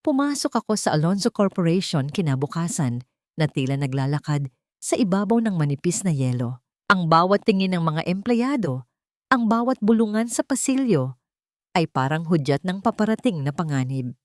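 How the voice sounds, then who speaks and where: A woman narrating a dramatic first-person story in a solemn, deliberate storytelling manner. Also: fairly low pitch, lively intonation, no room sound, studio quality.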